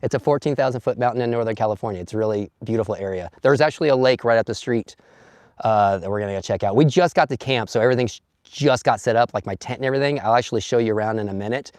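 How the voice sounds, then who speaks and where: A man with a slightly hoarse, mid-pitched voice chats casually through his phone from a sunny campsite outdoors, greeting viewers by name and laughing easily. The sound is close and dry, with no room echo at all. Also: very fast, a little mellow. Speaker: vlogger. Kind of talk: casual live stream chat, reading comments. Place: outdoors at a campsite in bright sun.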